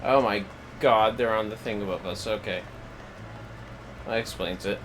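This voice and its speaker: A man's voice: on the low side, normal speed, a bit bright, slight background noise. A man giving casual, slangy commentary to himself while livestreaming a video game. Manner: informal, slangy. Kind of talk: casual gaming commentary, muttering to self.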